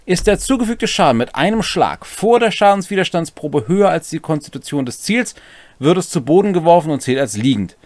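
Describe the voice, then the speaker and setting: A man's voice: a little high, sing-song, brisk, a little mellow, no echo. A man in his forties explaining tabletop game rules in a conversational way as a co-host and game master. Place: small home room, indoors.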